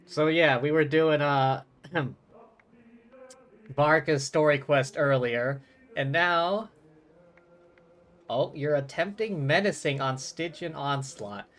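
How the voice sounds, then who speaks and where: A man's voice: on the high side, slow pace, long pauses, fairly bright tone. A man doing casual, chatty game commentary as a live streamer, easygoing in manner.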